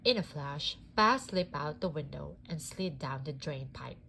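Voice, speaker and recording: A woman reads a children's storybook aloud. Her voice sits fairly low and moves slowly, with very lively, expressive rises and falls. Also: gravelly, slight background noise. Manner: deliberate, expressive. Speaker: storybook reader. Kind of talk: children's read-aloud narration.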